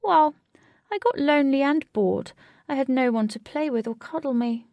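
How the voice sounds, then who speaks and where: A woman's voice: high voice, normal speed, dry recording, dull audio, voice pushed up. A woman voicing a giant fat talking cat in a storybook, sweet, lonely, affectionate and earnest. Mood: wistful. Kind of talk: storybook character voice.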